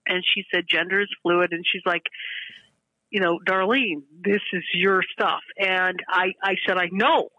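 A woman of fifty-five calling in to a show, speaking earnestly and candidly in halting, restarted sentences. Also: lowish voice, fairly even intonation, no room sound, lo-fi sound. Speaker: regular caller to a show. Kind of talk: candid emotional conversation.

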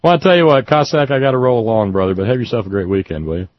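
A man's voice: mid-range voice, racing, no echo, muffled recording. An older man hosting a casual talk show, telling rambling stories with a wry, chuckling manner. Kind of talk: casual call-in chat.

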